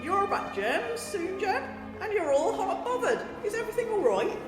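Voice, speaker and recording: A woman's voice: very high voice, moderate pace. A woman playing a dim, chattering, chirpy sister who keeps geese, in a comic radio play. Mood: concerned. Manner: animated, scatterbrained, chattering. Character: scatty, dim, chirpy goose-keeping sister. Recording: echoey long reverb, music underneath.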